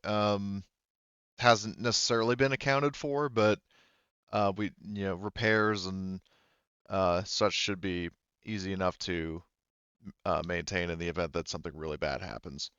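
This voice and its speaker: Smooth low-voiced man running a tabletop game. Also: steady tone, unhurried, fairly bright tone, no echo, dull audio. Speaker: game master. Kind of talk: casual tabletop game narration and rules talk.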